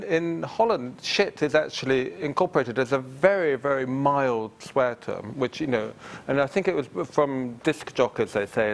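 A middle-aged man giving a lecture, answering questions from the audience in a hesitant, filler-heavy way with self-deprecating humour. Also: medium pitch. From England. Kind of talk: public lecture Q&A. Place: large lecture theatre with audience.

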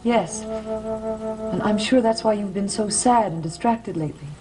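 A young actress playing an earnest, concerned, gentle woman in a gothic soap opera, speaking hesitantly. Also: fairly high pitch, fairly even intonation, measured pace, natural voice. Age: young adult. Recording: old TV soundtrack, music behind.

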